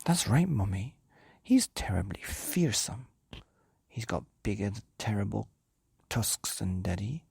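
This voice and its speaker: A man's voice: very animated, slow pace, gravelly, darkish tone, breathy edge, dry recording, clean studio sound, heavily acted. A man doing a fretful little monster child. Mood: worried. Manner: eager, fretful, pitched up. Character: small anxious Gruffalo child, young monster boy.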